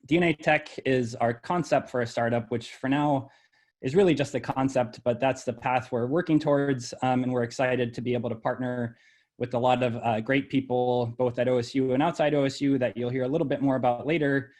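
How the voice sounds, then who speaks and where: A middle-aged man who was born in El Salvador and raised in Ohio, presenting his university lab's research from slides in a measured way, with frequent ums and uhs. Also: medium pitch, fairly even intonation, darkish tone.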